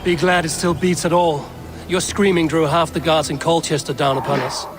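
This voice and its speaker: An actor playing a commanding, stern Viking raider in a video game, with a measured, authoritative manner. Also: male voice, fairly high pitch, fairly even intonation, close to an everyday voice. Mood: dry.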